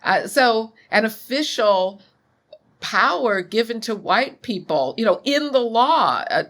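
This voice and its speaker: A woman in her sixties, a scholar being interviewed, speaking in a measured, thoughtful way. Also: a little high, animated. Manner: measured, thoughtful, occasional soft laughs. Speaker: guest scholar. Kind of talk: interview conversation.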